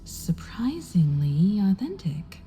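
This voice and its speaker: Dark-voiced, composed game character. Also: female voice, mid-range voice, sing-song, takes it slowly. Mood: composed, wry. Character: composed, wry, confident woman onlooker. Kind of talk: video game voice acting.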